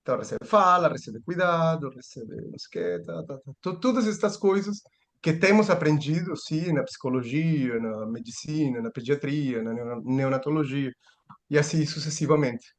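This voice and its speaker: A man's voice: fairly high pitch, lively intonation, moderate pace. Man lecturing. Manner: thinking aloud, frequent restarts and rhetorical questions. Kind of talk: seminar lecture.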